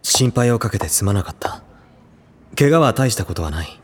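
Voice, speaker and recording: An actor playing a solemn, tormented high school honor student in an audio drama, speaking with grave, formal restraint. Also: male voice, animated, normal speed, close to an everyday voice. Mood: apologetic, restrained.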